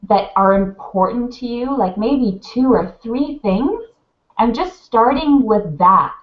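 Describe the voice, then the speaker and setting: A woman on a video call gives heartfelt advice, rambling and full of fillers, a little sniffly from crying. The audio is muffled webcam sound in a roomy space. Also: a little high. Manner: gushing, rambling, many "like" fillers. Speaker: mentor on a live video hangout. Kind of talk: heartfelt casual advice chat.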